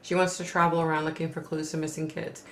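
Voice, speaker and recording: A middle-aged woman hosting a casual livestream from home, reading viewer comments aloud and reacting in a chatty, rambling way while her voice goes hoarse. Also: low-pitched, level tone, fast, fairly bright tone, roomy sound. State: losing her voice.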